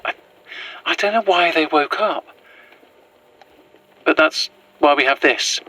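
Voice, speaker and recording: A woman's voice: low voice, pushed and bright, no room sound, heavily acted, rough recording. A woman playing an anxious, pragmatic fugitive in a naturalistic found-footage audio drama, speaking hesitantly and earnestly. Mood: earnest, insistent. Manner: hesitant, halting, nervous.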